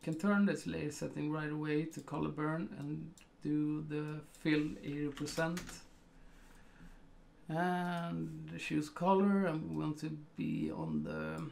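A man's voice: fairly high pitch, slow pace, vocal fry, a little mellow, a little hiss or hum. A middle-aged man from Sweden with a Swedish accent, talking through a photo editing tutorial at his computer and thinking aloud with many ums and uhs. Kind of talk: casual screen-recorded tutorial.

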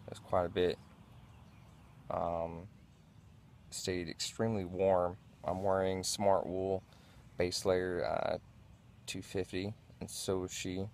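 A man with a very low, gravelly voice talks through camping gear in a low-key, halting vlog style. He speaks very slowly, recorded on a handheld camera with some noise in the audio. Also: level tone, a little mellow. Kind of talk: casual vlog gear review. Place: inside a small backyard tent.

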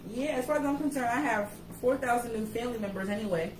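A woman's voice: fairly high pitch, quick pace. Blunt, joking New York woman. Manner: laid-back, blunt, joking. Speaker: page admin and co-host. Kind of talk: casual chat on a livestream. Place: indoor kitchen. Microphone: camera or laptop mic. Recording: echoey kitchen from a distance, with noise.

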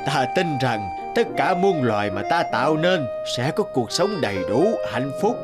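A man's voice: medium pitch, very animated, moderate pace, natural voice. Man playing a stern, imperious old heavenly emperor. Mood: solemn, instructive. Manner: commanding, declamatory, scolding. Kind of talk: animated fairy-tale dubbing. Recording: music behind the voice.

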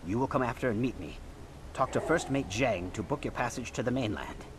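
An actor playing a grave, wise old martial-arts master in a video game cutscene, speaking in a solemn, measured, formal way. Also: male voice, mid-range voice, background noise, natural voice. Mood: solemn, urgent.

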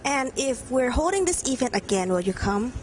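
A young woman interviewing students at a game event, asking short, bright questions into a handheld mic in a large room. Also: high-pitched, sing-song, moderate pace, slight background noise.

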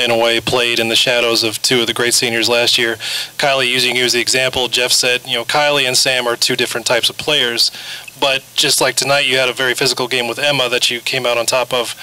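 A male reporter with an even, flat delivery and a bright tone lays out a careful, detailed question for the players. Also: mid-range voice, rough recording. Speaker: sports reporter. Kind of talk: press conference question.

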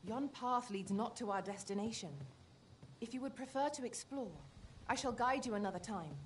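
A woman's voice: fairly high pitch, moderate pace, a little hiss or hum, close to an everyday voice. An actress playing a dutiful, courteous female guide in a fantasy video game, speaking in formal archaic phrases. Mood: courteous, advising.

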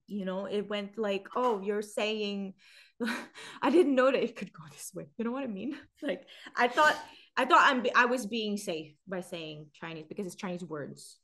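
A young woman from Macau co-hosting a podcast over a video call, chatting animatedly and laughing as she reads comments. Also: moderate pace. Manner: animated, laughs often, swings high when mocking. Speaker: podcast co-host. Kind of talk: casual chat reading comments. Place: indoor room, video call split screen.

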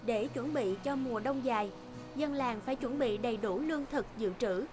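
A woman's voice: fairly high pitch, moderate pace. A woman reading scripted fairy-tale narration as the narrator of a cartoon. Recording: music bed behind the voice. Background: background music.

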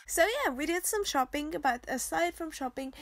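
A young Finnish-accented woman narrates her vlog in a high voice with a gravelly edge at the ends of her phrases. She talks in an easygoing, upbeat way and pads her sentences with little fillers as she goes. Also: animated, normal speed, fairly bright tone, no room sound. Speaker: vlogger. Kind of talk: casual voice-over vlog narration.

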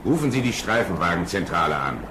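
Radio play policeman: lowish, lively, curt and watchful, roomy harbour sound. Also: fast talker. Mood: irritated, alert. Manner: commanding, curt. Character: brisk, watchful male harbour police officer.

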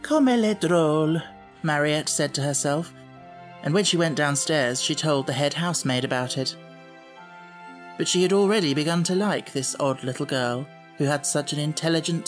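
A man reading a children's story as scripted audiobook narration. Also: a little high. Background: music.

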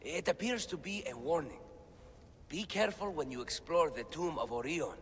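A man's voice: fairly high pitch, animated, normal speed, a bit bright, big-room sound, slight background noise. An actor voicing an earnest, bookish young man who explains ancient texts in a video game cutscene. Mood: thoughtful, explanatory.

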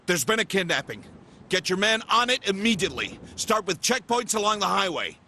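A man playing a gruff middle-aged detective in a video game, a desperate, short-tempered father barking urgent orders. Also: high voice, sing-song, a little fast, pushed and bright, a little hiss or hum, full character voice. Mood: urgent, angry. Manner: brusque, commanding, urgent. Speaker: police detective character. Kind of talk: dramatic game voice acting.

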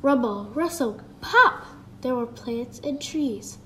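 A woman's voice: on the high side, animated, slow, bright, forceful tone, a little hiss or hum. A woman reading a children's creation story aloud, playfully voicing the sound effects.

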